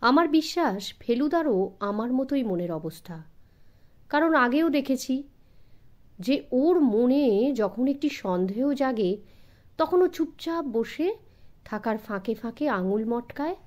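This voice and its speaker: Female audiobook narrator, higher pitch, animated and expressive, measured storytelling for young listeners. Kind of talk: dramatised scripted story narration for children.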